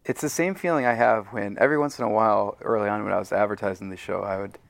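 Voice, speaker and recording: A man's voice: on the low side, fast talker, gravelly, no echo. A man being interviewed as an audio drama creator, talking casually in a wry, self-deprecating way. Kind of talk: casual conversation.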